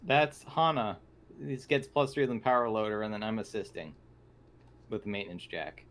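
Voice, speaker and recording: Low male voice, casual gamer chat. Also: normal speed, a bit bright. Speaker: tabletop game player. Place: online voice call. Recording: noisy call with keyboard typing.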